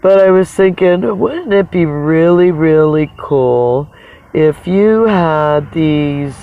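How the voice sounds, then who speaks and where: A person with a raspy voice talks in a casual, rambling livestream monologue, halting and reflective, often pausing mid-sentence. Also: medium pitch, lively intonation. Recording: dull, narrow phone-like sound.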